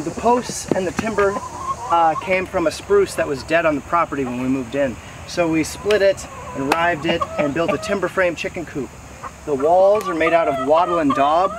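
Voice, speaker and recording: A man in his thirties giving a casual, explanatory walking tour to camera, pausing now and then mid-sentence. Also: fairly high pitch. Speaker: host giving a garden tour. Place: outdoors in a wooded backyard garden. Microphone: camera mic several meters away. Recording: distant camera mic, noisy outdoor ambience. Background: insects and outdoor noise.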